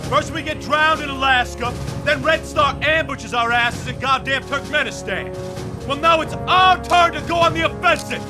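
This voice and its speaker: A gruff, commanding squad leader in a war game, voice pushed high and hard as he rallies the men. Music and engine rumble run clearly underneath. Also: normal speed. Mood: stern, rousing. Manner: barking, commanding, rousing. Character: gruff, fired-up male military squad commander rallying his troops. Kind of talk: video game cutscene acting.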